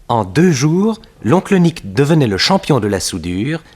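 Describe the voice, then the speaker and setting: An actor playing the jaunty narrator of a 1960s French radio detective serial. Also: male voice, mid-range voice, very animated, a little slow, a little mellow.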